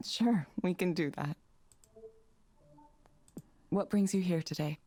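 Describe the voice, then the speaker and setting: A woman voicing a calm, neutral AI counselling program in a game, with a measured, scripted manner. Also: on the low side.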